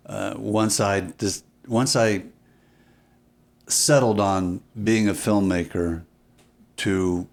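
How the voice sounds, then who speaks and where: A man speaking as an interview guest, reflective and deliberate, often pausing and repeating phrases for emphasis. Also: lowish voice, steady tone, creaky. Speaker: podcast guest, filmmaker.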